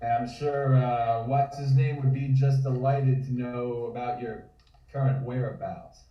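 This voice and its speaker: An actor playing a wry, roguish 1920s charmer with casual, slangy talk, in a table read. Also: male voice, medium pitch, fairly even intonation, unhurried, close to an everyday voice. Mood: awkward, sardonic. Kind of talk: comic script table read. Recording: echoey, distant, muffled call audio.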